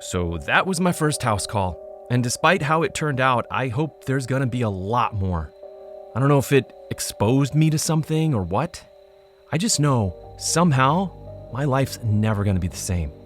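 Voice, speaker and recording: An actor playing an earnest, troubled paranormal investigator who narrates a scripted podcast in a low-key, conversational way. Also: male voice, sing-song, moderate pace, faint music behind, close to an everyday voice. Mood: reflective, resolute. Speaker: host and narrator.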